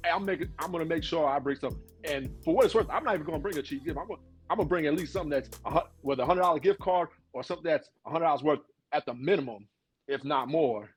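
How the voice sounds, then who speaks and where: Fast-talking higher-voiced man. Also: husky, soft background music. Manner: quick, emphatic talk. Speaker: podcast co-host. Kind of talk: casual podcast chat.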